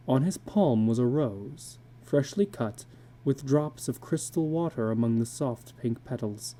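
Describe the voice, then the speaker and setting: A man narrating a literary story as a dramatic reading, with measured, deliberate phrasing. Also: mid-range voice, mellow.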